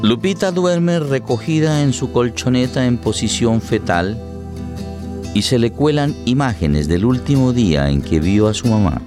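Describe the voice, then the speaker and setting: A man's voice: medium pitch, animated, unhurried. A man narrating a radio play in a dramatic, scripted style. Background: music.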